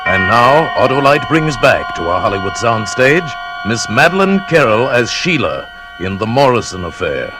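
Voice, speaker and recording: An American man making formal, measured, dramatic announcements in 1940s radio style, on an old broadcast recording. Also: mid-range voice, big pitch swings. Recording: old radio broadcast, loud music behind.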